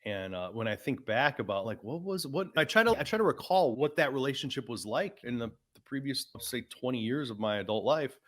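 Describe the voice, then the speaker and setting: A man's voice: medium pitch, lively intonation, fairly quick, hardly any pauses, fairly bright tone. A man of about forty hosting a podcast, talking frankly and casually, often adding "man" and swearing. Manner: laid-back, frank, swears, says "man" a lot. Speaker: podcast host.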